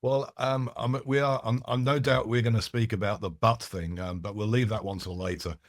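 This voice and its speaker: A man's voice: fairly low pitch, steady tone, normal speed, dry recording, clean studio sound. A man whose first language is French, a podcast guest explaining his ideas with frequent restarts and asides. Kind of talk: casual conversational interview.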